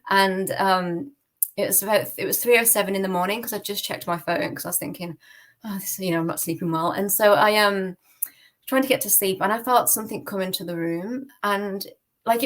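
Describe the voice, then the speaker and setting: Woman, British accent, mid pitch, podcast guest, personal storytelling, candid, conversational, informal. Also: fairly quick. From United Kingdom. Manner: candid, conversational, peppered with "like" and "kind of".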